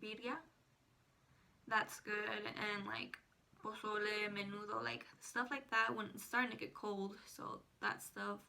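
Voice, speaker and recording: A woman's voice: medium pitch, gravelly, sharp tone. An eighteen-year-old woman, a native Spanish speaker, chatting casually to viewers as a vlogger. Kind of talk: casual personal chat to viewers.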